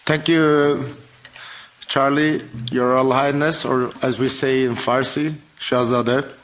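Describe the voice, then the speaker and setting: A man's voice: mid-range voice, fairly even intonation, takes it slowly, a bit bright. A man from Iran, a member of parliament, giving an earnest, emphatic address at a formal event, with phrasing.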